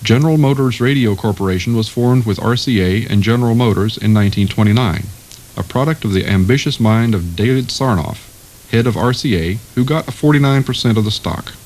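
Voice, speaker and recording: A man's voice: lowish voice, fairly quick, a little mellow, low-quality recording. A man reading scripted documentary narration as the narrator.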